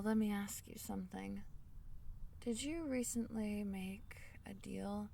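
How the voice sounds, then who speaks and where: A woman playing a small, shy, kind forest fairy in an intimate ASMR fantasy roleplay, speaking softly and hesitantly. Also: medium pitch, gravelly, far from the mic, slight background noise. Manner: soft-spoken, gentle, hesitant, breaking into whispers.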